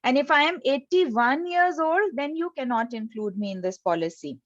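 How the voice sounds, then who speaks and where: A woman's voice: high-pitched, lively intonation, normal speed, dry recording, muffled recording. A woman presenting an insurance scheme on a video call, explaining step by step with many fillers and hesitations.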